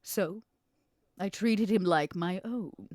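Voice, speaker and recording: A woman playing a scheming, worldly female vampire businesswoman in a tabletop roleplay, speaking in a theatrical, deliberate, insinuating way. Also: medium pitch, darkish tone, dry recording, studio quality, natural voice. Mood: grave, confiding.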